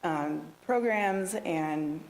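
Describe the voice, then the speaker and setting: A woman's voice: sits low, very slow, a little mellow, poor audio. A woman who is a task force member, speaking earnestly and easily during a council work session presentation. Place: council meeting room.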